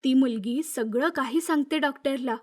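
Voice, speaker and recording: Female storyteller: high-pitched, scripted children's moral tale narration. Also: moderate pace, a little mellow.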